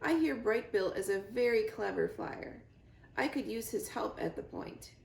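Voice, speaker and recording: Big gander leader, acted by a woman, confident, kindly, formal announcing, creaky, echoey, storybook. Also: a little high, lively intonation, unhurried, darkish tone.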